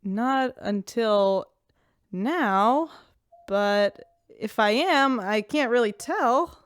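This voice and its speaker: An actress playing a gentle, folksy woman who looks after the animals in an audio drama. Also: a little high, animated, extremely slow, clear, resonant tone, dry recording, clean studio sound. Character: kind, easygoing woman animal keeper.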